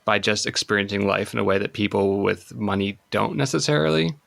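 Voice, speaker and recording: A man from the Chicago suburbs, a guest on a podcast, talking in a thoughtful, measured way. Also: on the low side, gravelly, fairly bright tone. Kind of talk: casual chat.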